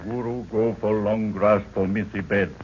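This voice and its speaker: An actor playing a loyal, terse African guide speaking broken pidgin English in a 1930s radio drama. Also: male voice, on the low side, fairly even intonation, normal speed, lightly acted. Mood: urgent, submissive. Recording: scratchy, muffled 1930s radio recording.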